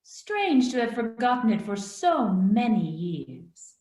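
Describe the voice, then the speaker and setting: A woman playing a calm, probing spirit guide in a radio play, speaking in a measured, solemn, gently questioning way. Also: a little high. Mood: calm, knowing. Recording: roomy, echoing voice.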